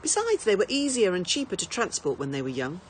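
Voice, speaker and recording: A woman's voice: on the low side, wildly swooping pitch, a little fast. Woman reading a story, noisy recording. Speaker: teacher reading to pupils. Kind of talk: expressive storybook narration.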